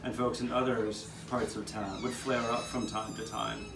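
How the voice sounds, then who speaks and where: A man's voice: lowish voice, little pitch movement, normal speed, creaky. A man playing a 19th-century Philadelphian, earnestly narrating memories of his neighbors' struggles, occasionally stumbling over the script. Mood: earnest recollection. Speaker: narrator of a puppet show. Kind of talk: scripted first-person historical narration, storytelling. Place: outdoor courtyard, live performance. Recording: roomy, distant microphone, noticeable background noise.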